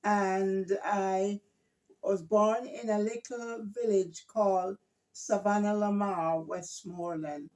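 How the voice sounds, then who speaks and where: Older woman, from Jamaica; slow, warm-toned guest, gentle, halting, repeats herself. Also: mid-range voice, steady tone. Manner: hesitant, gentle, repeats words. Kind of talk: casual family interview.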